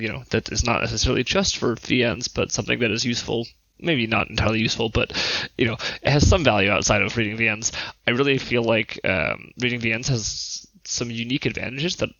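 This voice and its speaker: A man speaks in a bright voice with a noticeable creak, in casual conversation. He thinks aloud and qualifies his points. The recording is very dry and slightly dull. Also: medium pitch, dry recording. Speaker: podcast speaker. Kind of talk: casual chat.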